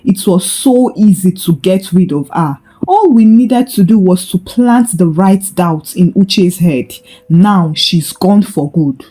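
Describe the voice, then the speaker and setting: A woman's voice: lowish voice, animated, takes it slowly, dark tone. A woman storyteller voicing a spiteful, smug, lying sister-in-law in a dramatised folktale.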